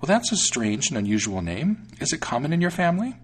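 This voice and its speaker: A man's voice: huge pitch swings, moderate pace, husky, exaggerated voice. A man voicing a small, trusting female storybook mouse, inquisitive and emphatic, full of wonder. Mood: curious, surprised. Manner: high-lifted, emphatic, wondering delivery. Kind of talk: storybook character voice.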